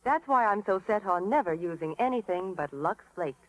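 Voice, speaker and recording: A woman's voice: medium pitch, animated, normal speed, dry recording, low-quality recording. Perky lady in an old 1930s radio ad, voice bouncing up and down, emphatic. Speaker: radio commercial spokeswoman. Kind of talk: scripted 1930s radio ad banter. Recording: vintage 1930s radio broadcast recording.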